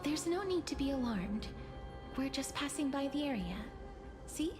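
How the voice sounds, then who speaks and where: An actress playing a calm, noble young heroine in a video game, with formal, gentle, measured speech. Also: female voice, sits high. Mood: reassuring. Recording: game music behind voice.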